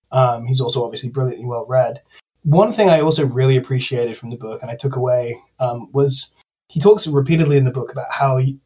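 A man's voice: mid-range voice, a little mellow, husky, muffled recording. A man on a podcast panel, discussing a book in an articulate, academic way.

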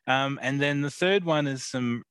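Male co-host, halting, easy laugh, dry close mic. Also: medium pitch, fairly bright tone, clean tone, dry recording. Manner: hesitant, lots of ums, laughs easily. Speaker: podcast co-host. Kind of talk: casual chat describing photos.

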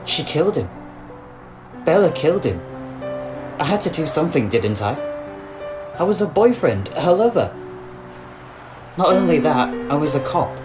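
An actor playing a distraught, bitter suspended policeman in an audio drama, speaking in an anguished, halting way. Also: fairly high pitch, big pitch swings, over music. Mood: agitated, desperate. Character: distraught suspended young policeman, bitter, guilt-ridden lover. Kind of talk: audio drama monologue and dialogue.

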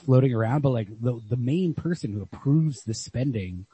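A man co-hosting a podcast, talking casually and analytically about literature in a wry, husky voice. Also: medium pitch, expressive, moderate pace, dark tone. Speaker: podcast co-host. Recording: lo-fi, dry close recording.

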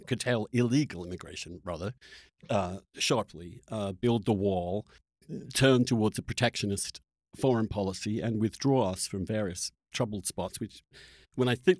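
A man's voice: on the low side, no echo, studio quality. A man hosting a political podcast, challenging his guest in an emphatic, incredulous way. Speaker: podcast host. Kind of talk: combative political debate.

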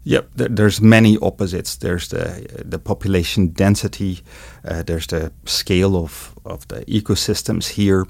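Dutch-accented middle-aged man, deep with vocal fry, measured interview guest, dry close mic. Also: fairly even intonation, a little mellow, no echo. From Netherlands. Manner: measured, explanatory, with some hesitations. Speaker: guest road ecologist. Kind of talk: interview answers.

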